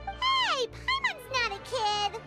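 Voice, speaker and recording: A woman voicing a tiny, childlike, curious fairy companion in a video game, squeaky and chirpy. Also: big pitch swings, slow pace, heavily acted. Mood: indignant. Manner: chirpy, inquisitive, speaks of self in third person. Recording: music behind the voice.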